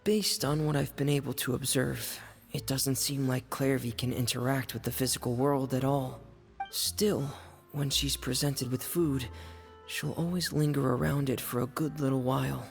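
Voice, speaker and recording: A man voicing a shy, careful young man who speaks slowly and hesitantly in a game scene. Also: mid-range voice, level tone, faint music behind, lightly acted. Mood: pensive. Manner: slow, gravelly, hesitant.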